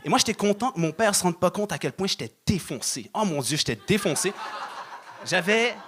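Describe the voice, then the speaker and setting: A man's voice: high-pitched, expressive, fairly quick, background noise. A man doing conversational stand-up and banter in a hesitant manner. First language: Arabic-speaking family, says he speaks French imperfectly. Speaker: stand-up comedian performing on a show.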